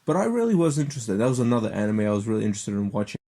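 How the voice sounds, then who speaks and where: Kiwi guy, lowish mellow voice, lots of ums, chatting on a podcast. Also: big pitch swings, very fast. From New Zealand. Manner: hesitant, many ums. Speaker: podcast co-host. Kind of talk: casual chat.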